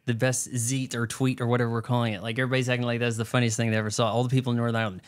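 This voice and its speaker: A man's voice: mid-range voice, level tone, rapid-fire, clear, resonant tone. A man hosting a podcast, telling stories in a joking, casual chat with his mother. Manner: joking, storytelling. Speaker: podcast host.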